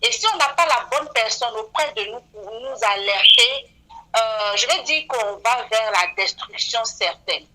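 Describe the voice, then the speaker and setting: Earnest woman, 40s, bright higher voice, radio guest who leans on fillers. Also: animated, a little slow, low-quality recording. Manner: earnest, frequent "voilà" fillers. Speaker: beautician guest.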